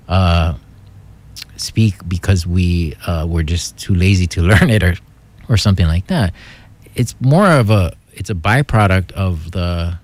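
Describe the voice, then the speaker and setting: A man's voice: deep voice, a little mellow. A man from Hawaii, thinking aloud in a casual explanatory monologue, hesitant, with many fillers and restarts.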